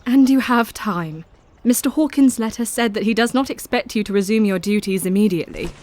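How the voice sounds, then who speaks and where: An actress playing a devoted, anxious young Victorian wife in a gothic audio drama, speaking tenderly and earnestly with formal period phrasing. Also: female voice, mid-range voice, brisk, darkish tone, slight background noise, close to an everyday voice.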